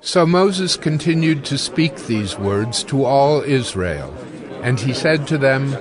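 A man's voice: mid-range voice, big pitch swings, slow pace, crowd noise. A man narrating a dramatized scripture recording in a scripted style.

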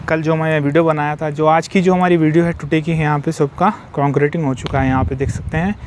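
A man's voice: a little high, noisy background. A man walking a building site, filming on a phone and explaining the work in a repetitive, explanatory way, dropping in English technical terms. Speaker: civil construction site vlogger. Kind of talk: walkthrough explaining a construction site. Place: outdoor construction site. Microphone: phone held while filming.

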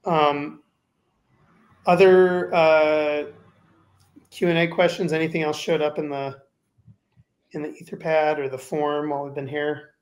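Man, fairly high voice, measured, lots of fillers, video call, Q&A host. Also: little pitch movement. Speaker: faculty member hosting a Q&A. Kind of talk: casual Q&A moderation.

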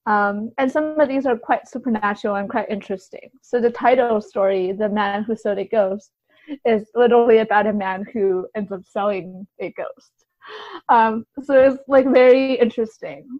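A woman's voice: medium pitch, normal speed, no room sound, muffled recording. A woman answering casually as a podcast guest, in a tentative, hedging way. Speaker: guest, translator and poet.

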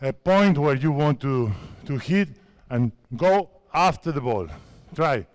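A man's voice: fairly high pitch, expressive, slow pace. Spanish man in his fifties coaching basketball. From Spain. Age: 50s. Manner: instructive, coaching players with short commands. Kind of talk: coaching clinic on court. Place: large indoor sports hall. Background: basketballs bouncing.

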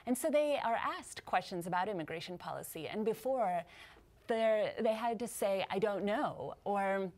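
An upbeat woman in her thirties sits as a guest in a television studio interview. She explains in a steady, mid-pitched voice and readily agrees with her host. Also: normal speed. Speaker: immigration policy guest. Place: TV news studio.

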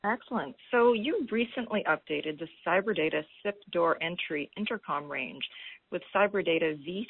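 A woman's voice: fairly low pitch, husky, dry recording. A woman interviewer putting prepared questions to a guest. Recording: narrow muffled phone line.

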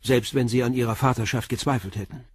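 An actor in a German crime radio play playing a calm, persistent middle-aged police commissioner who questions in a measured, blunt way. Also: male voice, medium pitch, husky, no echo, clean studio sound, natural voice. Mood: probing.

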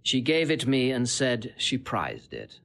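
An actor playing a sly, boastful gentleman seducer in classical Shakespearean audio drama, insinuating and relishing every detail. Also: medium pitch, wildly swooping pitch, measured pace, creaky, exaggerated voice. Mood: mocking. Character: sly, boastful Italian gentleman, smooth-tongued seducer taunting a husband.

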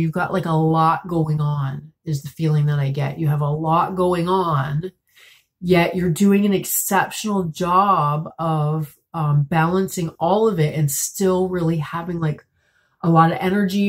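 A woman in her thirties giving a tarot reading to camera at home, a bit congested and raspy from a cold, talking in a soft, musing way with many fillers. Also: low-pitched. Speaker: tarot reader. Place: small room at home, indoors.

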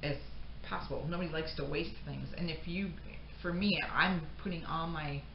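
Her voice is low for a woman, with plenty of gravelly fry. She is a middle-aged American talking in a loose, rambling vlog style, often dropping one thought for the next. The sound is roomy and echoey, as if from a camera mic a little way off indoors. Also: moderate pace. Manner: rambling, unscripted, often breaking off mid-thought. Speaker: homestead vlogger. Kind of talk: casual chat to camera. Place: indoor pantry room with shelves of jars. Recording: dull, echoey room sound with faint noise.

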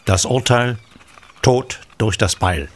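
An actor narrating a historical crime audio drama in a grave, measured manner. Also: male voice, fairly low pitch, lively intonation. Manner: measured, solemn. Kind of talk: scripted narration.

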